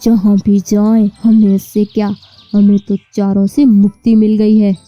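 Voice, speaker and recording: A woman's voice: mid-range voice, flat delivery, measured pace, warm tone, muffled recording, faint music behind, pitched below the performer's own voice, close to an everyday voice. A woman voicing a callous, greedy uncle bird in a cartoon, bossy and dismissive.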